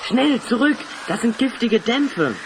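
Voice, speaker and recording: Confident young-hearted hero leader, theatrical and assertive, lively intonation, children's audio drama, faint noise. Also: male voice, very high voice, a little slow, heavily acted. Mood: urgent. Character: bold, confident male adventurer leading his friends.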